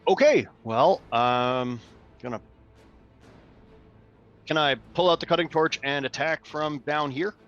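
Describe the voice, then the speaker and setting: Slow-talking man, slightly dull sound. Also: on the high side, a bit bright, faint music behind. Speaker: player. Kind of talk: casual tabletop game talk.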